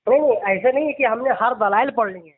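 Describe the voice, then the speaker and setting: A man's voice: very high-pitched, brisk. A man arguing in a religious debate on a phone call, firm, repetitive and objecting. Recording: tinny, band-limited phone call recording.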